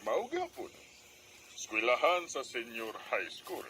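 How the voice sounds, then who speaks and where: A man's voice: mid-range voice, wildly swooping pitch, slow, sharp tone, slight background noise, full character voice. A man playing a reassuring construction boss in a radio drama, matter-of-fact and directive, with a Cebuano Filipino accent. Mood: businesslike. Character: male construction boss, reassuring, steady authority. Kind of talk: Philippine radio drama acting.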